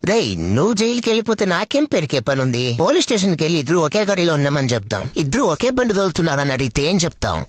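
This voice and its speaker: A man voicing brash young slacker friends in a Telugu cartoon comedy skit, bantering in crude, excitable slang. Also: a little high, very fast, hardly any pauses, no echo. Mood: bickering.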